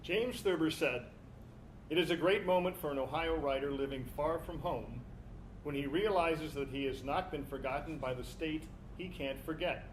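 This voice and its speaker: A man's voice: a little high, normal speed, low-quality recording. A man performing on stage as a reader in a literary dramatization. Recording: live stage recording with background noise.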